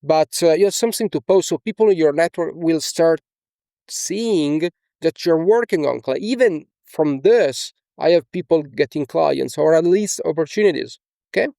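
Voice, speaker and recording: A man's voice: on the high side, lively intonation, moderate pace, vocal fry, warm tone, no echo, clean studio sound. A young man from Italy with an Italian accent, talking casually as a coach in a screen-share tutorial, often tagging on "okay?" and fillers. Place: small indoor room.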